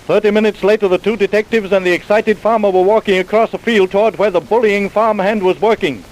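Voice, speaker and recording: A man's voice: sits high, steady tone, quick pace, close to an everyday voice, low-quality recording. A man as narrator, delivering dramatic scripted narration for an old-time radio crime story. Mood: urgent storytelling. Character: male narrator linking crime story scenes. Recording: hissy vintage radio recording.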